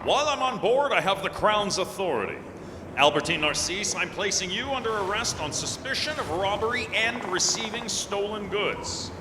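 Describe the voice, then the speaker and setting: Man playing stern corporal, lively higher-pitched voice. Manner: formal, deliberate. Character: stern, authoritative police corporal making an arrest. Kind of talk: old-time radio adventure acting. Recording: reverberant hall, clear background noise.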